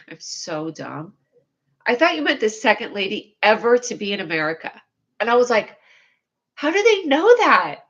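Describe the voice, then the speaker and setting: A young woman with a whiny, needy voice, chatting casually to viewers on her livestream, rambling and scatterbrained, putting herself down and now and then breaking into excited squeals. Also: fairly high pitch, muffled recording.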